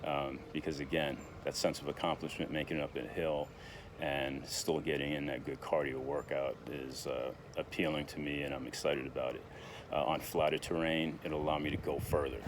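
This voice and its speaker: A man in his sixties with an extremely low voice that hardly rises or falls. He talks in a halting, casual way, full of fillers and restarts. Steady outdoor noise with passing vehicles sits clearly behind him. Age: 60s. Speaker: vlogger. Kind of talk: casual vlog monologue.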